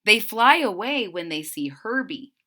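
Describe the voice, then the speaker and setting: A woman reading aloud to children, expressive and explanatory. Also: medium pitch, unhurried. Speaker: storybook reader.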